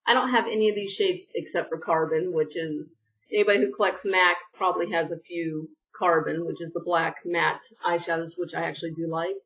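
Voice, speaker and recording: A woman's voice: on the low side, fairly even intonation, brisk, distant mic, muffled recording. A woman chatting through a casual makeup haul vlog, rambling with apologetic asides and sniffly from allergies. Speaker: beauty vlogger.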